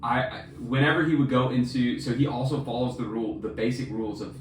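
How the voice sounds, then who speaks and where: A man's voice: medium pitch, fairly even intonation, fast talker. A man co-hosting a podcast, chatting casually about books in an enthusiastic, slangy, emphatic way. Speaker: podcast co-host. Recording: roomy echo, distant mic, faint noise.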